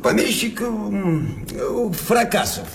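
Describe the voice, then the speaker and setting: An actor playing a self-satisfied, bribe-taking provincial Russian clerk in an obsequious, stammering, drawling way, in a classic comedy radio play. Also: male voice, high voice, very animated, slow pace, a bit bright, slight background noise, exaggerated voice. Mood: confiding.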